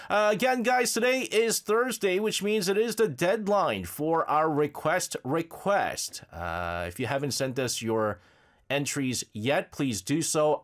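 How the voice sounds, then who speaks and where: A man's voice: mid-range voice, fairly bright tone. A man hosting a radio show, reading announcements and listener messages with energy and many fillers; he has a slight cold, sounds a bit nasal and drowsy. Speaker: radio host.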